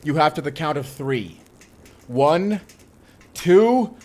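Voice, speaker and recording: A man playing a determined, earnest vampire slayer in a cinematic audio roleplay, explaining things in a measured way. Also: fairly high pitch, expressive, darkish tone. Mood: challenging, commanding. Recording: clearly noisy ambience behind the voice.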